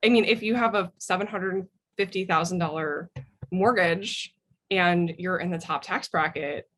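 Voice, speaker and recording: American woman on a podcast, slightly low voice, casual but emphatic, giving opinions. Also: measured pace, a bit bright. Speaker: podcast guest, writer.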